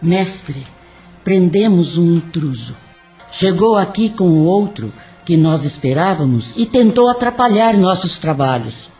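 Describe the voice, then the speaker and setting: A man playing a servile, menacing dark spirit in an audio drama, deferential to its master. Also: high voice, animated, a little slow. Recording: distant voice with music behind.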